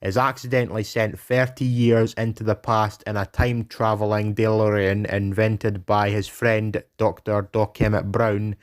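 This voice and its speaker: A man from Britain narrating his own fan cartoon, rambling and reeling off film facts. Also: lowish voice. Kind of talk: casual narration and commentary.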